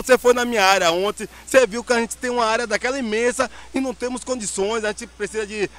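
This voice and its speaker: A man's voice: high voice, fairly bright tone. A man, speaking off the cuff in a street interview at an outdoor market. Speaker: local farmer being interviewed. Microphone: handheld reporter microphone.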